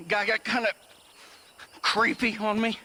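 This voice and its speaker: Very high, pained, whining American westerner. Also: male voice, monotone, unhurried, bright, forceful tone, a little hiss or hum, full character voice. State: pained, moaning. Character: whining, injured Old West man in pain. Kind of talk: video game dramatic acting.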